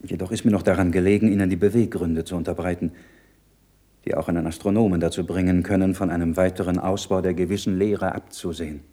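Earnest, low, mellow-voiced troubled monk. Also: male voice, steady tone. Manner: measured, solemn, rhetorical monologue. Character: earnest, troubled learned monk of peasant birth. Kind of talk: German radio play acting.

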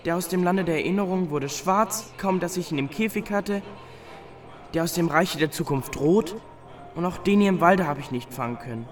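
Man playing an earnest, high-voiced young boy. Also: normal speed, natural voice. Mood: despairing. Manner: polite, eager, clearly declaimed. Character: earnest, pleading young boy searching in a forest. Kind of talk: theatrical German radio play acting. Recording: studio radio play with music behind.

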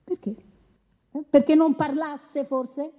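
A relentless female lawyer in a radio courtroom drama. Her high voice is formal and pointed as she presses her case, heard in a dull recording with long hall reverb. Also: flat delivery, takes it slowly. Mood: pressing. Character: assertive, relentless female lawyer cross-examining a defendant. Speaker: actor in a radio courtroom play. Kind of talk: dramatised cross-examination.

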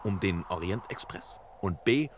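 Pompous radio sleuth, lowish voice, deadpan and clipped, hissy old recording. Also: male voice, a little slow. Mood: instructing, deadpan. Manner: clipped telegram-style phrasing, deadpan. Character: pompous master detective, deadpan, absurdly overconfident man. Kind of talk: 1960s-style German radio detective parody acting.

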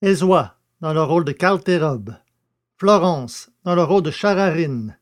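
Slightly high-pitched male narrator. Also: animated, a little slow. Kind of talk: scripted narration and cast credits.

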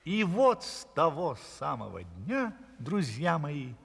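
A man with a husky voice narrating a fairy tale expressively as a storyteller. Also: a little high, huge pitch swings, slow. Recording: long hall-like reverb.

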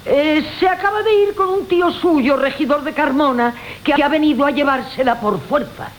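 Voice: sits high, moderate pace, natural voice. A performer playing a sly, mock-deferential, gossipy servant in a classical radio comedy. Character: sly, gossipy, opportunistic household servant Álvarez. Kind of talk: Spanish classical comic radio theatre acting. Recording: hissy 1980 radio broadcast.

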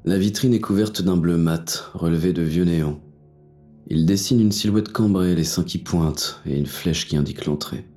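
Over a music bed comes a very low voice: a hard-boiled, cynical fugitive acted by a man in a noir audio drama. He tells his own story in French-accented, crude and terse slang, like a wounded gunman on the run. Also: normal speed, mellow, lightly acted. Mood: grim, cynical. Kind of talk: first-person noir audio fiction narration.

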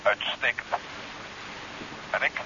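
An actor playing a stoic diver in a 1970s radio drama, speaking in terse phrases over a helmet radio link. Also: male voice, slow pace. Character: terse, stoic male diver in pressure suit. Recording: dull radio recording with clear hiss.

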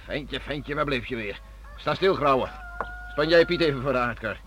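Bossy, gruff island father, sharp-voiced, acted by a man. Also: mid-range voice, normal speed, natural voice. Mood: impatient. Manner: gruff, commanding, impatient. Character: stern, bossy father of a teenage son, island beachcomber. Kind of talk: radio drama acting. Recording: faint background noise on old radio recording.

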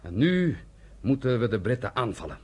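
An actor playing a fiery, bitter underground fighter leader in a radio play, declamatory and defiant. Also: male voice, medium pitch, big pitch swings, normal speed, breathy edge. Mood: defiant, fervent. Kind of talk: Dutch radio play acting.

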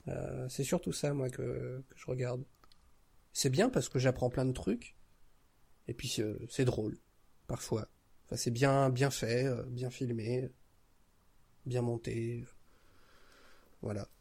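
A man's voice: medium pitch, steady tone, takes it slowly, gravelly, pauses often, darkish tone, breathy edge. A man talking casually as a podcast guest, hesitating as he searches for words. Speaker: podcast guest, audio fiction creator. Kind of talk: casual one-on-one chat.

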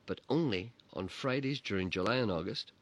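A middle-aged man hosting a radio show, chatting playfully with deadpan humor and reading out listener messages. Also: fairly low pitch, brisk. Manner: playful deadpan teasing, spins tall tales. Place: radio studio.

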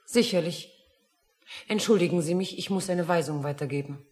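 A woman's voice: on the low side, normal speed, a little mellow, a little hiss or hum, close to an everyday voice. A woman acting a brusque, busy waitress in a radio play. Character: brusque, busy waitress carrying coffee and orders.

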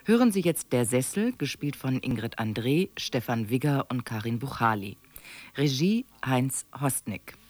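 Mid-pitched male radio announcer. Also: expressive, unhurried. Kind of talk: scripted radio drama introduction.

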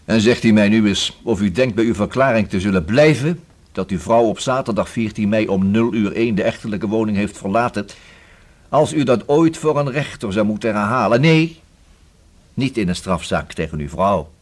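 An actor in a Dutch radio play playing a persistent, dryly ironic police inspector, with a husky voice and formal, measured manner. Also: male voice, fairly low pitch, expressive. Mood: probing, formal.

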